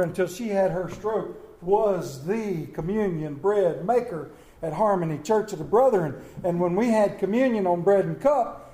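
A man preaching a Mother's Day sermon, folksy and storytelling, with dramatic slow pauses on quoted lines. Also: high-pitched, a little mellow. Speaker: pastor. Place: church sanctuary. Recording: echoey church room.

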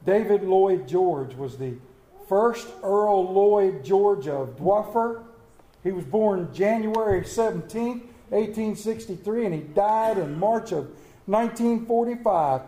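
A man preaching a Mother's Day sermon, folksy and storytelling, with dramatic slow pauses on quoted lines. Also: high-pitched, warm tone. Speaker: pastor. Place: church sanctuary. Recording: echoey church room.

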